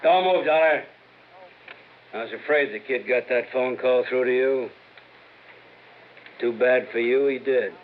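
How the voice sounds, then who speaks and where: An actor playing a cold gun-toting villain. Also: male voice, mid-range voice, measured pace, pauses often, phone-quality sound, lightly acted. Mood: threatening. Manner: cold, commanding. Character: menacing male villain holding a gun. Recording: hissy old radio broadcast.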